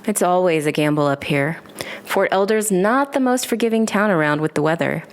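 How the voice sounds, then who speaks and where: A woman's voice: on the low side, animated, normal speed. A woman playing a flirty bartender. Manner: casual, teasing.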